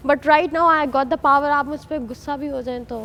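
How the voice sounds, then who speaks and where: A young woman with a high, gravelly voice makes an insistent plea in unhurried speech, mixing Urdu and English. She is a reality show contestant, with faint noise in the background. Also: steady tone, fairly bright tone. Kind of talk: unscripted pleading confession.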